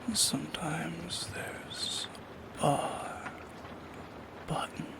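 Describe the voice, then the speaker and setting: A man voicing a lonely, shy shadow creature in an ASMR roleplay, speaking in a soft, halting, intimate whisper with a creaky edge. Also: fairly high pitch, steady tone, noisy background. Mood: anxious musing. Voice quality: breathy whisper with creaky edges.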